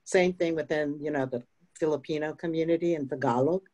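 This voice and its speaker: A woman's voice: deep voice, brisk, a little mellow. An older woman, a union representative, speaking emphatically on a video panel and tagging points with "right?". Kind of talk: panel discussion. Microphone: video call.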